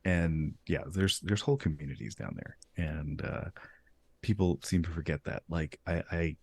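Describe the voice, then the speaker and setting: A man hosting a casual interview, talking in a relaxed way and laughing easily between points. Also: rumbling low, normal speed, gravelly, husky. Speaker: host.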